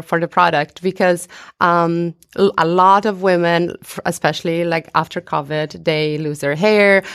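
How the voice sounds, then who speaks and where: A woman's voice: on the low side, no echo. Female interview guest, founder type: clean, resonant voice, close dry sound, hesitant conversational delivery.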